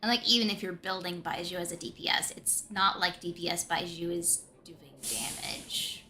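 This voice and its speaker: A woman's voice: lowish voice, steady tone, moderate pace, bright, forceful tone. A woman live streamer chatting casually and thinking aloud as she comments on a game.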